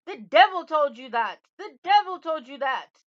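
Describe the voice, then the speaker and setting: A woman's voice: on the high side, animated, takes it slowly, sharp tone, voice pushed up, exaggerated voice. A woman voicing a gleeful, hot-tempered little fairy-tale man with an Irish accent, sing-song and taunting in a storybook reading. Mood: furious. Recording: roomy, dull lo-fi home recording.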